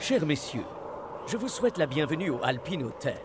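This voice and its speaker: Ceremonious, formal cartoon hotel butler. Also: male voice, on the high side, sing-song, noisy background. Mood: polite, composed. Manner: ceremonious, polite, measured. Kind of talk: cartoon dub acting.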